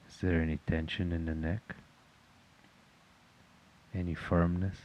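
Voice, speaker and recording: A man with a very deep voice guides a meditation. He gives calm, measured instructions at a very slow pace, in a notably even tone that barely rises or falls.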